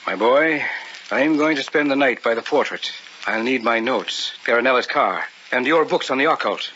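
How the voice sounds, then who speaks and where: An actor playing a confident, suave art connoisseur and skeptical friend in an old-time radio horror drama. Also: male voice, mid-range voice, expressive, normal speed, natural voice. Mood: intrigued. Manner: suave, assured, theatrical. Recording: muffled, noisy old radio recording.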